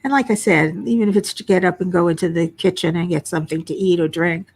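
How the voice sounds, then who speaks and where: An older woman hosting a casual livestream from home, chatting and answering viewers, her voice at times a little low and raspy from a frog in her throat. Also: steady tone, quick pace, a little mellow. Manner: chatty, self-deprecating, reads chat names aloud. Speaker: livestream host. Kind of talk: casual chat reading viewer comments. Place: home workspace.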